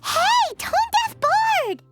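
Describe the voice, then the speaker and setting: Squeaky, extremely high childlike fairy. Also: female voice, big pitch swings, very slow, heavily acted. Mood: indignant. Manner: third-person self-reference, indignant, inquisitive. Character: tiny, childlike, excitable female fairy companion, blunt and curious. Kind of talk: English video game voice acting.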